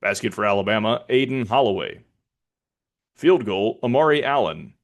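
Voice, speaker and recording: An American man voicing a basketball arena announcer, controlled and formal, with clipped calls and deliberately delivered names. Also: on the low side, expressive. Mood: neutral, even. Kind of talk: public address sports announcing demonstration.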